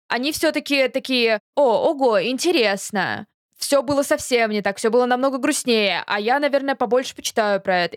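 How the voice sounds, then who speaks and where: A woman's voice: on the high side, no echo, clean studio sound. A young woman chatting casually as a podcast co-host, talking informally with slang and English loanwords. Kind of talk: casual chat about films.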